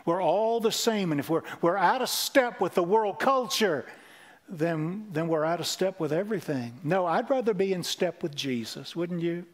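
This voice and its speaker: A man's voice: sits high, lively intonation, moderate pace. A man in his sixties, a senior pastor, preaching an earnest sermon on a church stage into a headset mic, often repeating phrases. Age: 60s.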